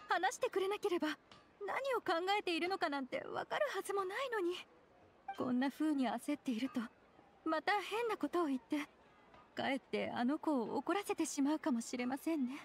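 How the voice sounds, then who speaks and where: An actress playing an anxious, gentle mother who speaks politely and softly in an anime game. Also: sits high, expressive, normal speed, slight background noise. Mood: sorrowful. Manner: soft-spoken, polite, worried. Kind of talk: Japanese anime game voice acting.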